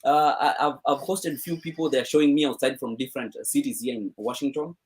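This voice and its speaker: A man's voice: mid-range voice, steady tone, quick pace. A man hosting a live stream in casual chat, upbeat and often repeating words.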